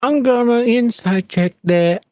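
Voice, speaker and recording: A man's voice: very high-pitched, a little slow, warm tone, no room sound, clean studio sound. A man playing a tabletop game, speaking casually. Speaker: player.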